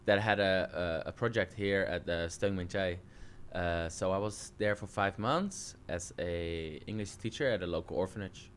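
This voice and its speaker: A Dutch man in his early thirties, speaking English with a Dutch accent, relaxed and chatty as a coach telling his story in an interview. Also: low voice, normal speed, hardly any pauses, a little hiss or hum. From Netherlands. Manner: relaxed, chatty, many fillers and self-restarts. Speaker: jiu-jitsu coach and interview guest.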